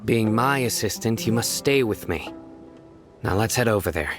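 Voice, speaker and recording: Stern general for a game, male actor: lowish, clipped orders, deadpan authority. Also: moderate pace, lightly acted. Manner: terse, commanding, deadpan. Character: stern, aloof young male general and enforcer. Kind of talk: video game cutscene acting. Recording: music behind the voice.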